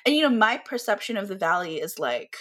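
A woman from the Philippines chats as a podcast guest, her voice sitting at an ordinary middle pitch. She talks in a loose, animated way and strings her thoughts together with plenty of "like" and "um". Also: fairly quick. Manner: chatty and animated, with many fillers and laughs. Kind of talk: casual conversational interview.

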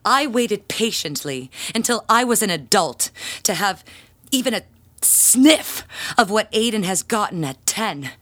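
A possessive, self-righteous bell ringer in a naturalistic audio drama. She speaks slowly and defensively, with big swings of pitch and exasperated groans. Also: expressive, a bit bright. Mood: proud, bitter.

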